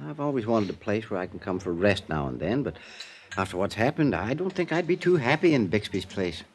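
An actor playing a proper, easily offended gentleman with a stiff, formal, emphatic manner, in a 1950s radio drama. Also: on the low side, very animated, brisk. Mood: formal, uneasy. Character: proper, indignant would-be cabin buyer. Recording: faintly noisy 1950s radio recording.

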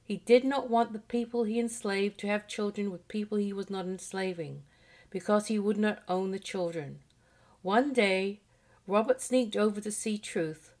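A woman reading out a history lesson in a steady, measured voice. Her pitch sits in an ordinary middle range, and she keeps an even, deliberate pace. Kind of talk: read-aloud history lesson.

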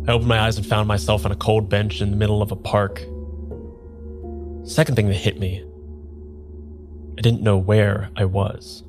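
Man acting a confused amnesiac, noir radio drama, low voice, measured dramatic pauses, weary, clear music bed. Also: lightly acted. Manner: measured, dramatic pauses when narrating, hesitant in dialogue. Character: bewildered amnesiac man, weary noir narrator seeking answers.

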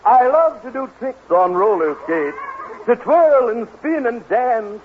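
A pompous rhyming poet, on tinny vintage radio. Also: male voice, very high voice, expressive, takes it slowly, full character voice. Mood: flustered. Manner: pompous, sing-song rhyming, flustered. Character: pompous male poet who talks in rhyme. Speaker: children's show character. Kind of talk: vintage radio comedy acting. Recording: tinny old broadcast with loud hiss.

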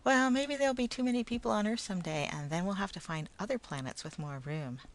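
A woman's voice: mid-range voice, fast talker, a little hiss or hum, pitched above the performer's own voice, natural voice. A woman doing a patient, kindly father.

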